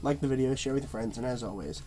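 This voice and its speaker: A man's voice: mid-range voice, quick pace, mellow, soft background music. Stuffy-nosed man rambling through a review. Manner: rambling, filler-heavy, repeats words. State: stuffy nose, nasal-sounding. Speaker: movie reviewer. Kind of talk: casual review monologue.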